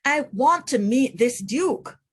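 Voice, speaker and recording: A woman's voice: high voice, expressive, takes it slowly, breathy edge, exaggerated voice. A proud old king, read by a woman.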